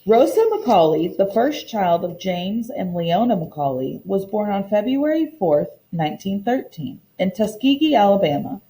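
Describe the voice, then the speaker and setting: Mellow, expressive woman teacher reading aloud. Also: mid-range voice, unhurried, few pauses, low-quality recording. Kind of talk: expressive storybook read-aloud lesson.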